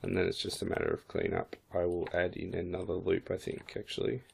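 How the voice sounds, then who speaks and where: A man with a croaky voice, casually thinking aloud as he walks through a 3D modeling software tutorial. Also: low-pitched, completely flat delivery, fairly quick. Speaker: 3D modeling instructor. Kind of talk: screen-recorded software tutorial.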